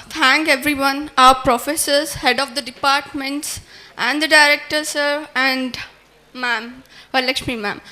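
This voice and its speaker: Young woman, from India, medical student, formal thank-you speech, high bright voice, earnest. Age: young adult. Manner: earnest, formal, quotes sayings, some restarts.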